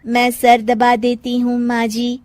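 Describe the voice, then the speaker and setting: A woman voicing an obedient, sweet young robot daughter-in-law in a moral story, speaking in a measured, polite, deferential way. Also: fairly high pitch, completely flat delivery, a little mellow, no room sound, faint music behind. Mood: obedient, calm. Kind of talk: moral-story character acting.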